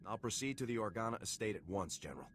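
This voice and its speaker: Fast, resolute soldier hero. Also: male voice, lowish voice, expressive, fairly bright tone, slight background noise. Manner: terse, confident, dutiful. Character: resolute, dutiful male military lieutenant hero. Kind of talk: scripted video game dialogue. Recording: clear music behind the voice.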